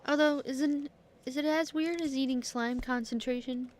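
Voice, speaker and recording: A woman's voice: high-pitched, steady tone, normal speed, a little mellow, a little hiss or hum. Woman streamer chatting casually over gameplay. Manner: blunt, slangy muttering to herself. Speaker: game streamer. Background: faint game music, keyboard clicks.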